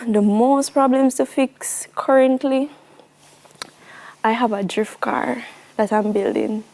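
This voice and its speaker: A young woman of twenty with a Jamaican accent and a fairly high-pitched voice. She speaks as a guest in a casual television interview. Her delivery is earnest and emphatic, and she likes to repeat a phrase to drive it home. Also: slow pace, creaky, darkish tone.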